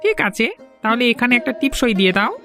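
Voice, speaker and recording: A woman voicing a brisk, talkative village postman in a Bengali cartoon. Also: on the high side, big pitch swings, bright, forceful tone, heavily acted. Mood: hurried. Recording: music behind the voice.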